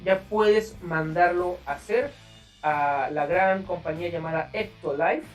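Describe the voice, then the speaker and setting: A man's voice: high-pitched, measured pace, distant mic, faint music behind. A man with a Mexican accent hosting a podcast in a casual, slangy, profane way. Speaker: podcast host.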